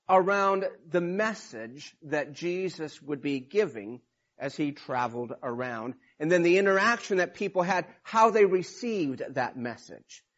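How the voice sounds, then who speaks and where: A man preaching a sermon in a hoarse, raspy voice from a cold, talking informally with many restarts. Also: fairly high pitch, highs cut off. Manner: informal, rambling with restarts and fillers. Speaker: pastor.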